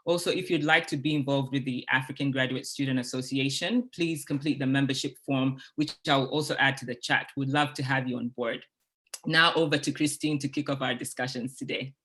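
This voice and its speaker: A woman's voice: very deep voice, steady tone, fairly bright tone. Young woman from Uganda hosting a panel. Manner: measured, clear, gives instructions. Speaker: event host. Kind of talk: welcoming an online panel. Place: video call.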